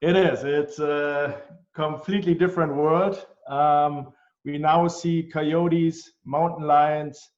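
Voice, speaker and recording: A man with a German accent, answering interview questions in an earnest, measured way with frequent ums. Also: fairly high pitch, fairly even intonation. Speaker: guest, healthcare tech executive. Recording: dull, lo-fi sound.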